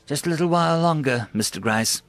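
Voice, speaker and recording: Man voicing a soothing, shrewd woman prisoner, pitched up. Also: animated, brisk, faint music behind. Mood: polite, calm. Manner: soothing, gently probing.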